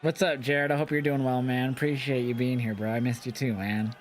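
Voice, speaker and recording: Man, streaming host; nasal, relaxed banter, jokey and profane, faint background hum. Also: medium pitch, fairly quick. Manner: loose, joking, profane asides to chat. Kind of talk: casual live reaction chat.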